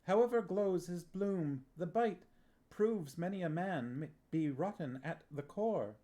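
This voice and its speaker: A man's voice: high voice, animated, a little slow, mellow, pitched above the performer's own voice. A man reading aloud, voicing a boy who recites tragic verse in a declamatory way. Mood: solemn.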